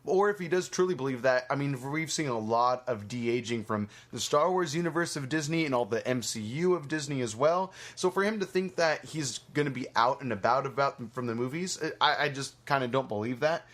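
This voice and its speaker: Male podcast host, mid-pitched voice, loose rambling delivery, salty language, casual movie chat. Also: brisk, hardly any pauses, fairly bright tone. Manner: rambling, foul-mouthed.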